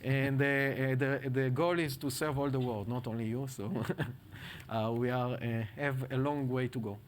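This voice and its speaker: A man speaking on a panel, describing his product in halting English with many pauses and slips in grammar. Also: mid-range voice, steady tone, slight background noise. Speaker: panelist and startup founder. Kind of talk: panel discussion.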